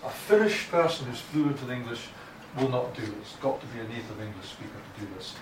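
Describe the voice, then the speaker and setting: A man's voice: medium pitch, lively intonation, brisk. Scottish male lecturer, blunt, informal, lots of restarts; distant mic, room echo. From Scotland. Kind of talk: academic writing lecture. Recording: echoey room from far off, noisy.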